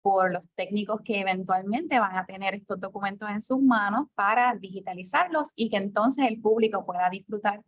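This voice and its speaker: A woman moderating a question session at an archive, speaking in a measured, formal way. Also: mid-range voice, no echo, lo-fi sound. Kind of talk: moderating a lecture Q&A.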